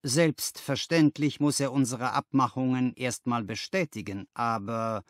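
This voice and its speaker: A man's voice: medium pitch, fairly even intonation, measured pace, no echo, clean studio sound, natural voice. A man voicing an effusive, persuasive businessman in a story, emphatic and a little patronising. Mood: businesslike. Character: brisk, effusive businessman recruiter, flattering and persuasive.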